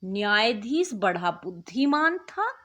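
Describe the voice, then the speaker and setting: A woman narrating a scripted moral bedtime story as a channel host, with an expressive, deliberate storytelling delivery. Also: medium pitch.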